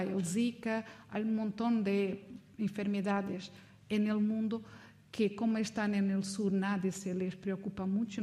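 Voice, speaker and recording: A woman academic from Mozambique gives an informal lecture in a language that is not her first. She has a Mozambican Portuguese accent and a mid-pitched voice. Her manner is reflective and explanatory, with non-native phrasing. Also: a little mellow. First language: not Spanish, learned it as an adult; also speaks Portuguese. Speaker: academic speaker.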